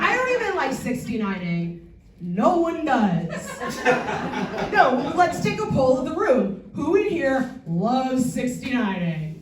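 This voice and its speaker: A woman in her forties works a stand-up crowd, slipping bawdy punchlines in with a flat deadpan and loose filler ums. Her voice sits fairly high and carries through a reverberant club room, caught at some distance from the mic, with a clear hum of noise underneath. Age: 40s. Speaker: stand-up comedian. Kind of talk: stand-up comedy set. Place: comedy theatre stage before a live audience.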